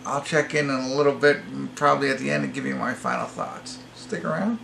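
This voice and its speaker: A man's voice: mid-range voice, fairly quick, gravelly, fairly bright tone, slight background noise. A man giving a casual, unscripted movie review to viewers, rambling and hesitant, with an apologetic way of talking. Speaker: amateur movie reviewer, host.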